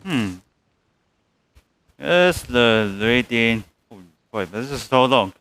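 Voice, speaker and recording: Loud blurting male game streamer. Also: medium pitch, animated, slow pace. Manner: loud, blurting reactions, swears. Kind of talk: live game commentary.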